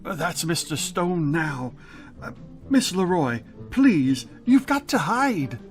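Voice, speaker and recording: A man playing a grouchy, flustered middle-aged high school principal in a radio comedy, blustering and exasperated. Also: very animated, slow pace, over music, exaggerated voice. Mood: flustered, urgent. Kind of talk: 1950s radio comedy acting.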